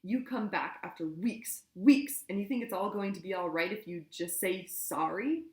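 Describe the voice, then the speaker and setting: A woman reading a storybook, voicing a furious young witch who screams and screeches in a shrill voice. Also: animated, brisk, fairly bright tone, reverberant.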